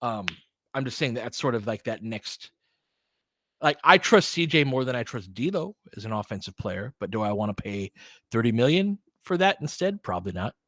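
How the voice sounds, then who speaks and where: A man's voice: mid-range voice, sing-song, normal speed, fairly bright tone, no room sound. Man, dry close-sounding casual basketball commentary. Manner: conversational, informal, thinking aloud. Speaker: host doing fan play-by-play. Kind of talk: casual basketball commentary mixed with chat replies.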